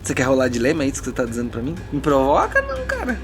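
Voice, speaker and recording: A man's voice: mid-range voice, huge pitch swings, racing. A Brazilian man working as game master, narrating a fantasy tabletop role-playing game dramatically, with informal asides. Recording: music bed behind the voice.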